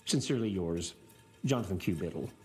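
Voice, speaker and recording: An actor playing a cowardly, greedy accountant in a video game, with a fussy, flustered, whiny manner. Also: male voice, deep voice, expressive, brisk, a little mellow. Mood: formal. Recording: game soundtrack music behind.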